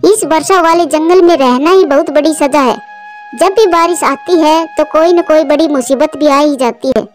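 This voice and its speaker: A woman voicing excitable, chatty female birds in a children's cartoon, with a dramatic manner. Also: high voice, normal speed, close to an everyday voice. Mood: dismayed. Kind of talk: Hindi children's cartoon dialogue. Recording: music behind the voice.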